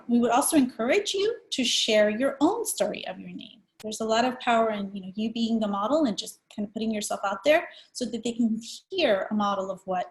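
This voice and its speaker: A woman's voice: on the high side, rough recording. A woman in her forties from El Salvador, giving an early-education training presentation in an explanatory, personal manner.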